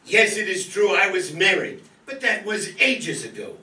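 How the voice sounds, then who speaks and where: A man playing a glib, courtly gentleman suitor in a theatrical radio soap opera parody. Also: high-pitched, lively intonation, normal speed, heavy background noise. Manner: smooth-talking, theatrical, courtly. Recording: echoey stage, far mic.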